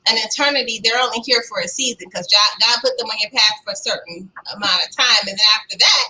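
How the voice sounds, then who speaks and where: A woman hosts a live online chat show in a very bright, high, piercing voice. She talks emphatically, with churchy turns of phrase. The audio comes over a video call. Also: fast, slight background noise, low-quality recording. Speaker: talk show host.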